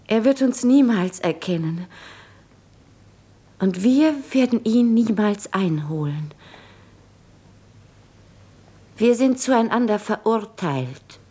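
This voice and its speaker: An actress playing an earnest, anguished wife in a 1950s German radio drama, intimate and fervent, with a husky, breathy voice. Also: female voice, medium pitch, expressive, takes it slowly, mellow. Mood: wistful, loving.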